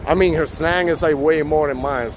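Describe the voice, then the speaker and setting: A man's voice: fairly high pitch, normal speed. A man with a Puerto Rican accent hosting a casual live walking tour outdoors, chatting with viewers on a phone mic as he goes. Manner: chatty, reads out viewer names. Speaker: live-stream host. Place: outdoors on city streets. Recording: loud street traffic noise.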